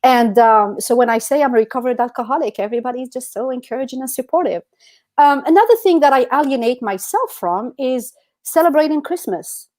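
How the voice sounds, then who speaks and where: A woman's voice: high-pitched, animated, brisk, darkish tone. A woman co-hosting a podcast, telling personal stories in a casual, emphatic, conversational way full of "you know". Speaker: podcast co-host.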